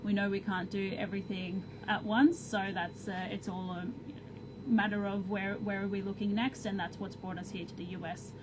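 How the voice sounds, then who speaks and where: A woman's voice: medium pitch, little pitch movement, normal speed. A woman answering as an interview guest in a conversational, explanatory style about her work. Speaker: company head of growth, interview guest. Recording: clear background noise, room ambience.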